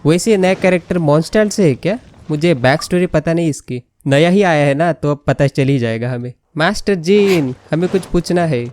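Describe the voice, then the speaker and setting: A man's voice: fairly high pitch, rapid-fire, hardly any pauses, a little mellow, a little hiss or hum. A man with an Indian accent giving chatty, casual let's play commentary, switching between Hindi and English. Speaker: gaming video host.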